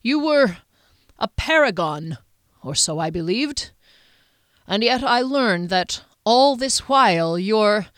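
Woman narrator playing a stern middle-aged duke passing judgment; cold, measured, louder and higher than her narration, dry. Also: clear, resonant tone, no echo. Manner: measured, formal, icy delivery.

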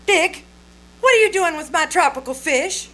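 A woman's voice: extremely high pitch, expressive, moderate pace, pushed and bright, full character voice. A woman playing a jealous, sarcastic young wife in a theatrical old-time radio comedy. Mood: defensive.

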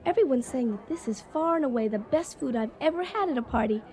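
An actress playing a nervous, chatty, scatterbrained party hostess in a comedic radio drama, rambling and guileless. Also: female voice, high voice, lively intonation, fast, darkish tone.

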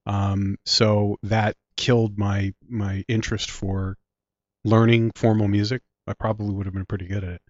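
A man in his late forties with a Philadelphia accent tells long, facetious stories in a lowish voice. He sounds congested from a sinus infection. The recording is dry and dull, with a lo-fi edge. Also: little pitch movement, moderate pace, no room sound. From Philadelphia area, US. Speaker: guest, director and writer. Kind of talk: casual interview chat.

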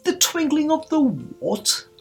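A woman voicing a short-tempered, blustering male king in a storybook, sharp and imperious as he commands. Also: sits high, wildly swooping pitch, takes it slowly, vocal fry, soft background music, pitched above the performer's own voice, full character voice. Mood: angry, sharp.

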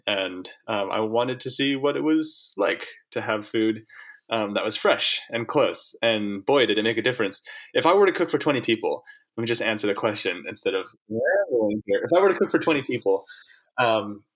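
A man's voice: lowish voice, a bit bright. A man from Hong Kong who was raised there, a farmer being interviewed, talking casually in long, rambling answers full of fillers and restarts.

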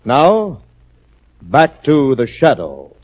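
A man's voice: mid-range voice, wildly swooping pitch, extremely slow. A man working as announcer and narrator, reading scripted copy in an emphatic, formal 1940s radio style. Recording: muffled 1940s radio recording.

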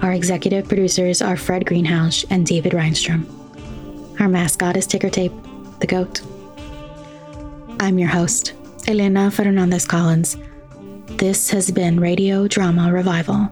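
A woman hosting a podcast, reading scripted announcements in a measured, clearly enunciated way. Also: fairly low pitch, level tone, a little mellow. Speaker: podcast host. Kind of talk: scripted credits narration. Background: faint music.

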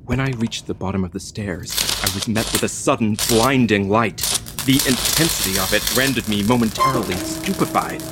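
An actor playing a frightened ex-military delivery courier, telling a horror story in a theatrical, deliberate, suspenseful way. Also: male voice, lowish voice, heavy background noise, close to an everyday voice. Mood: horrified. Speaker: narrating lead actor. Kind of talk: dramatic first-person horror audio play narration.

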